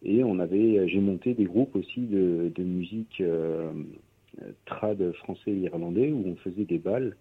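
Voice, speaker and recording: A man with a lowish, husky voice talks through a tinny, phone-like radio line. He speaks as a guest musician in a casual interview. He hesitates a lot and restarts his sentences. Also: measured pace. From Pyrénées-Orientales, France. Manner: hesitant, many fillers and restarts.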